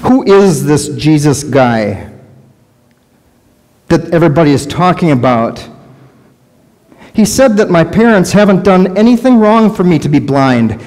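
A middle-aged man playing a lonely blind beggar, speaking a halting, questioning inner monologue in a large church sanctuary. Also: on the high side, expressive, a little mellow. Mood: searching. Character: lonely blind adult male beggar by a road. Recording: long church reverb.

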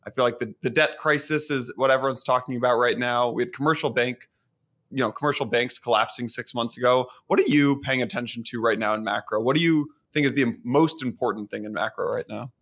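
A man's voice: mid-range voice, a little fast, fairly bright tone. Young man, podcast host, upbeat, chatty, studio mic, indoor office. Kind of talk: casual interview hosting. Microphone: studio mic on boom arm.

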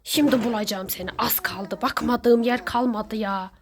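A woman playing a sneaky, hungry neighbor villain in a children's pretend-play skit, muttering theatrically to themselves with drawn-out complaints. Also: a little high, fairly even intonation, a little hiss or hum, lightly acted. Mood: puzzled, searching. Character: sneaky, hungry Hello Neighbor villain, seeker and snack thief. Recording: loud music over the voice.

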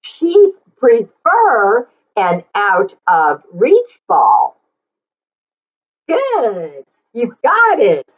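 Middle aged lady doing kids read aloud, highish voice, encouraging and sing-songy, bit muffled recording. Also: extremely slow. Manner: animated, exaggerated sing-song delivery with encouraging asides. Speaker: children's story reader and teacher. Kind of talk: read-aloud for young children, repeating lines slowly. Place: small plain indoor room.

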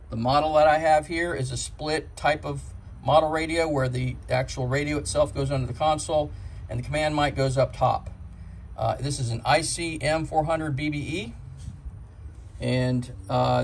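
Middle-aged American man, noisy tutorial recording. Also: mid-range voice. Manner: plain-spoken, step-by-step explaining. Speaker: presenter of a do-it-yourself boat video. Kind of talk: casual hands-on tutorial. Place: on and around a boat outdoors, and at a workbench.

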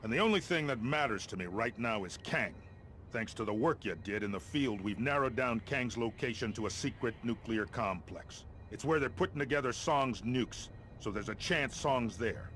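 A man acting as a middle-aged American colonel in a military video game. He is gruff and impatient, giving orders in a medium-pitched voice with blunt authority and a wry edge. Background ambience noise sits behind him. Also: animated, moderate pace, fairly bright tone, lightly acted. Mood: businesslike, urgent. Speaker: military officer giving mission briefings. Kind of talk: video game mission briefing dialogue.

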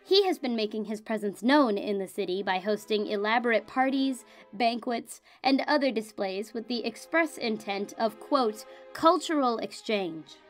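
A woman playing a cool, haughty royal spy reading a formal, measured, disdainful report in an audio drama. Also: a little high, close to an everyday voice. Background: music under the voice.

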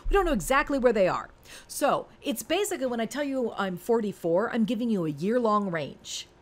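Female instructor, forties, highly animated delivery, informal and emphatic, walking a learner through material. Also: a little fast. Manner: conversational, emphatic, talks to the learner with rhetorical questions. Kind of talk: informal screen-recorded lesson, explaining step by step.

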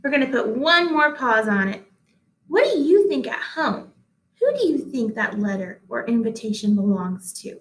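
A woman in her thirties reads a storybook to young listeners at home. Her voice sits somewhat high, with an expressive, careful storytelling delivery. The sound is clearly roomy, as in a small hard-walled office. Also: moderate pace. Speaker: read-aloud host, teacher. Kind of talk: picture book read-aloud with asides to children at home. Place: small indoor office-like room. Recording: echoey small room.

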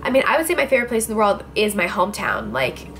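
A woman's voice: medium pitch, huge pitch swings, fast, fairly bright tone, a little hiss or hum. A twenty-year-old woman from New Jersey answering viewer questions in a casual vlog, talking to a close camera in a small room. Her talk is chatty and full of "like" and "I don't know". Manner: chatty, filler-heavy, lots of "like" and "I don't know". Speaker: beauty vlogger. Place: indoors, small room with clothes rack behind. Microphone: camera facing her at close range.

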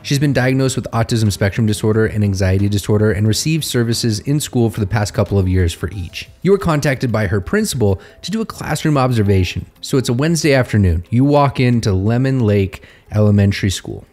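A man's voice: lowish voice, expressive, fairly quick, hardly any pauses, darkish tone. A man narrating a training story in the second person, as a storyteller setting a scene.

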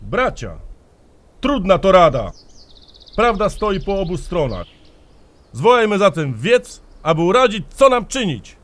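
An actor playing an earnest, conciliatory Slavic prince in an audio drama, speaking with deliberate emphasis. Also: male voice, sits high, big pitch swings, fairly bright tone, full character voice. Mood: grave, urging. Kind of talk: audio drama acting in archaic language.

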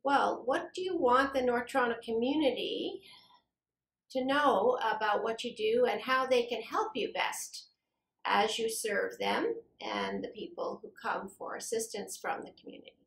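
A middle-aged woman interviewing a church staff member with gentle, measured questions in a small office. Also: fairly high pitch, lively intonation, creaky. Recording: echoey office room.

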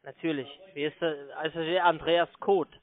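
Higher-voiced man on a phone line. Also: steady tone, moderate pace. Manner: repetitive, stumbling for words, needling back. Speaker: call centre telephone caller. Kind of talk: unscripted sales call turned argument. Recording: thin narrowband phone line.

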